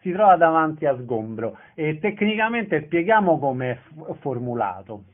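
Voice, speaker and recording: A man's voice: fairly high pitch, sing-song, moderate pace, phone-quality sound. A man hosting an informal radio interview, prompting his guest in a conversational way. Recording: band-limited radio sound.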